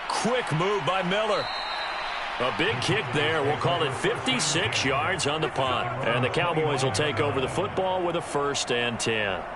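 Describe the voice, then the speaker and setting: A man playing a brisk, dramatic play-by-play announcer for an American football video game, speaking with an American accent. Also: fairly high pitch, lively intonation. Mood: steady, keyed up. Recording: loud game noise over the commentary.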